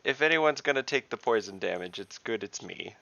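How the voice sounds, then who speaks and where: A man's voice: fairly low pitch, lively intonation, a little fast, creaky, bright, forceful tone, lo-fi sound. A man playing in an online tabletop game, talking casually and checking the rules. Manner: casual, asks rule questions. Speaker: player.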